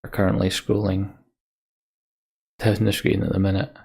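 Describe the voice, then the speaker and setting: A man hosting a gaming let's play, talking casually to his viewers in a halting, reflective, heartfelt way. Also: low-pitched, level tone, creaky, a little mellow, husky. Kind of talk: casual sign-off chat to viewers.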